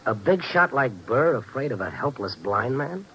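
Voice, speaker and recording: An American actor playing a steady, sympathetic newspaper reporter in a 1950s radio drama, talking and narrating in a wry, slangy way. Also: male voice, mid-range voice, normal speed, a little hiss or hum, low-quality recording. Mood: concerned.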